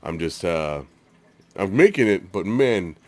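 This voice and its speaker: Congested man with a very deep voice. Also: measured pace. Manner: rambling, relaxed, lots of fillers. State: congested, stuffy nose. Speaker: card-opening channel host. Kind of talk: casual pack-opening vlog.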